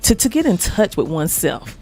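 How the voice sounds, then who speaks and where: A woman's voice: fairly low pitch, sing-song, fairly quick, mellow, soft background music, rough recording. A woman hosting a talk show, asking long, winding questions of her guest. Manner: rambling, searching questions. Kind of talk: interview questions.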